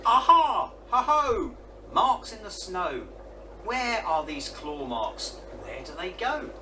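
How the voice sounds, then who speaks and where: A man's voice: high voice, very animated, takes it slowly, pitched above the performer's own voice, full character voice. A man voicing a curious, defiant young female monster child in a storybook, exclaiming and questioning. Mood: excited. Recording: distant mic, noisy.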